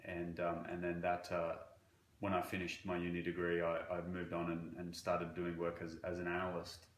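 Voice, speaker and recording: A man from Croatia working as a banking relationship manager, answering interview questions in a halting way with many fillers. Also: low voice, completely flat delivery, roomy sound, slight background noise. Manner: halting, with frequent fillers and restarts.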